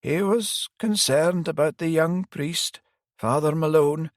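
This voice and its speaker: Guy doing a sad old priest, slow and gentle, high soft voice, dry recording. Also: no echo. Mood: sorrowful. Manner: soft, slow and sighing, higher than the narrator. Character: elderly male priest, gentle and sorrowful.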